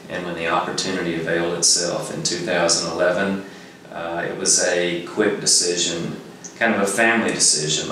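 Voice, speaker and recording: A middle-aged man with a very low, fairly even voice talks earnestly in an interview. He sits in a strongly echoing room and sounds like an executive explaining his work. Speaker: nonprofit executive director. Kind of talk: conversational interview. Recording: echoey room, distant mic, faint noise.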